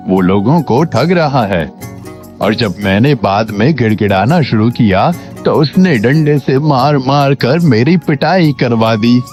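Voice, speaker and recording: Man acting a slow-spoken humble wronged villager. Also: medium pitch, darkish tone, natural voice. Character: humble, wronged, grateful villager cheated of savings. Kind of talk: Hindi animated moral story acting. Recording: dull lo-fi sound, faint music bed.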